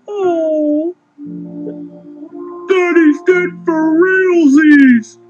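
A man's voice: very high-pitched, fairly even intonation, very slow, lo-fi sound, music in the background, heavily acted. A man voicing a melodramatic, foul-mouthed toy monster in a comic puppet parody. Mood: mock mourning. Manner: melodramatic, sweary.

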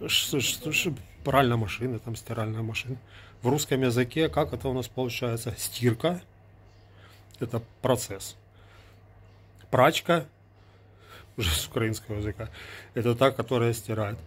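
Man, forties, from Ukraine, husky voice, mid pitch, argumentative, sarcastic, phone selfie video. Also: moderate pace, pauses often, fairly bright tone. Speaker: vlogger. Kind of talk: casual talk to camera. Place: small indoor room with gear. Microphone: earbud mic, phone at arm's length.

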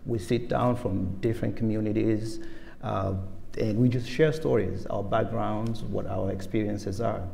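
A man, speaking on a panel onstage in a large museum auditorium, telling stories at an unhurried pace and laughing as he tells them. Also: on the low side, vocal fry, warm tone, slight background noise. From Somalia. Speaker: panelist, farm collective member. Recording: echoey auditorium with faint ambience.

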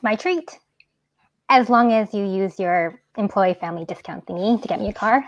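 A woman's voice: mid-range voice, moderate pace, no room sound, dull audio, lightly acted. A young woman reading a sarcastic, exasperated twenty-year-old daughter over a video call.

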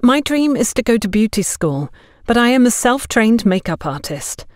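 A woman's voice: a little high, very animated, moderate pace, no echo, studio quality, voice pushed up. A woman voicing an earnest, polite young female job seeker in an audiobook, respectful and eager. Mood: hopeful, pleading.

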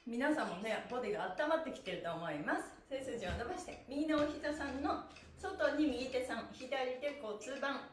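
A woman's voice: high voice, animated, moderate pace, slight background noise. A middle-aged woman teaching a stretching class in a cheerful, encouraging, sing-song chant while she exercises along. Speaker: stretching instructor and pantomime teacher. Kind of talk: live exercise class with counted chanting cues. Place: small indoor room, seated on exercise mat. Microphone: camera a few metres away. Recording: echoey room, distant mic.

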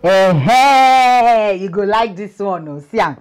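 A sassy Nigerian wife in a film comedy. She is playful and emphatic, with lively intonation and a mid-range voice. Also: medium pitch, slow. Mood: teasing. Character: sassy, teasing wife bossing her man. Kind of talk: film acting in Nigerian Pidgin.

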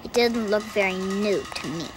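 A woman voicing an earnest, anxious seven-year-old immigrant girl, narrating her own story in an animated short with childlike, measured candour. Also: mid-range voice, over loud music, close to an everyday voice, rough recording. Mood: earnest, wistful. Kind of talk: scripted first-person narration for animated short.

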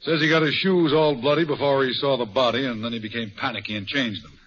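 A blustery cop inspector, brisk, muffled old radio. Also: male voice, medium pitch, fairly bright tone, breathy edge. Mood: confident. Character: bluff male police inspector, confident. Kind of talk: 1940s radio acting. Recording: dull lo-fi vintage radio broadcast.

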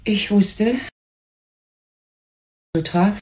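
An actress playing a proud, bitter older widow and mother in a German crime radio play, speaking in a formal, genteel, measured way. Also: medium pitch, steady tone, a little mellow. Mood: bitter, anguished.

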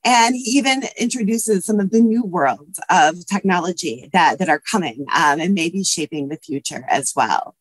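A woman's voice: lowish voice, lively intonation, normal speed, few pauses, slightly rough, dry recording. Female academic, 30s, scratchy allergy voice, earnest with fillers, dry webcam sound. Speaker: history professor and panelist. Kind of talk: prepared remarks on a video call. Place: office with bookshelves. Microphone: webcam video call.

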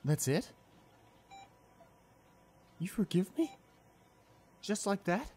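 Remorseful young boyfriend, played by a man, ASMR roleplay: slow, halting, tender, close-miked over faint background noise. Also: high voice, sing-song, exaggerated voice. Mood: disbelieving, guilty. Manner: halting, soft-spoken, emotional delivery with pauses. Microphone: close studio condenser microphone.

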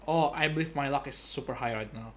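A young man giving casual gameplay commentary as a streamer, with halting, repetitive phrasing. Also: medium pitch. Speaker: game streamer. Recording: clear background noise, game ambience.

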